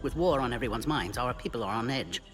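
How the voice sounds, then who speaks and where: An actor playing a brisk, polite alien science officer in a video game, with a technical manner of talking. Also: male voice, mid-range voice, animated, natural voice. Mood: courteous. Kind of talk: video game cutscene acting. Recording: clearly noisy background ambience.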